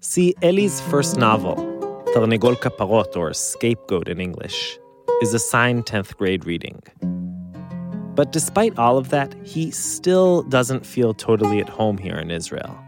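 A man hosting a podcast, narrating in a calm, measured way. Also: medium pitch, over music. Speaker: podcast host. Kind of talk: scripted narration.

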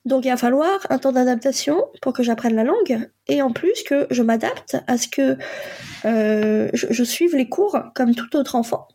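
A Polish-born woman in her forties who is a coach, telling her own story in a halting, reflective way with frequent pauses. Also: high voice, lively intonation, warm tone. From Poland. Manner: halting, many pauses and fillers. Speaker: guest, coach and psychotherapist. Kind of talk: reflective personal testimony.

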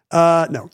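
A man chatting as a podcast co-host, his voice sitting a little high. He is wry and jokey and trips over his words before he lands a line. The recording is dry and close, with no room sound. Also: husky, no echo. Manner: wry, joking, halting restarts. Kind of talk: casual chat.

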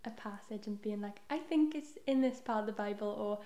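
Young woman for a guest spot: warm, slightly fried voice, casual and chatty, in a roomy space. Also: mid-range voice, fairly quick. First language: Welsh. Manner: chatty, self-deprecating, often trails into soft asides. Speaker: podcast guest. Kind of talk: casual chat. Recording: roomy echo.

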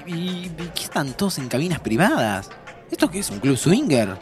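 A man's voice: fairly high pitch, soft background music. An actor playing a playful, curious time traveller who teases his friend expressively in a Buenos Aires accent, in a fiction podcast. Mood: bemused, excited. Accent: Argentine, Buenos Aires. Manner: teasing, expressive, drawn-out. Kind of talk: naturalistic fiction podcast dialogue.

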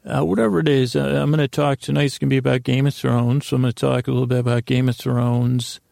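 Creaky man rambling softly through a bedtime monologue. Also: medium pitch, steady tone, no room sound, clean studio sound. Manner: meandering, halting, stammering, self-interrupting; gently humorous. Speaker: podcast host.

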